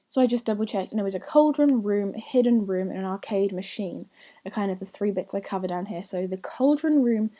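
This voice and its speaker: A woman giving a casual, rambling voiceover about her building game, slightly croaky as she gets over losing her voice, with soft whispery asides. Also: a little high. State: slightly croaky, recovering from lost voice. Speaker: gaming channel host.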